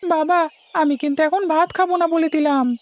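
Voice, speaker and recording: A woman's voice: sits high, steady tone, normal speed, dry recording, close to an everyday voice. A woman voicing an eager, chatty young schoolboy in a cartoon, pleading and petulant. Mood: sulky. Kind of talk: Bengali cartoon story dialogue. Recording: music behind the voice.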